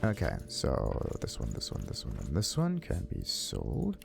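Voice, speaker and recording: A man's voice: rumbling low, wildly swooping pitch, unhurried, gravelly, over music. A man giving casual, rambling video game commentary as a let's play host, thinking aloud to himself.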